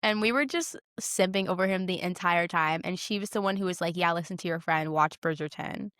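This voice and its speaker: A young woman co-hosting a podcast in casual chat, excitable and gushing, with dramatic emphasis. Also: on the low side, fairly quick, no room sound, clean studio sound. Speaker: podcast co-host.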